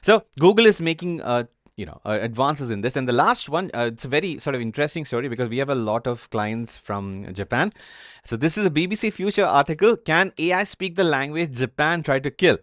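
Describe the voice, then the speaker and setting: A man's voice: medium pitch, lively intonation, brisk, dry recording, clean studio sound. A man co-hosting a talk show, discussing news stories casually, with many fillers and hesitations. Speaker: podcast co-host.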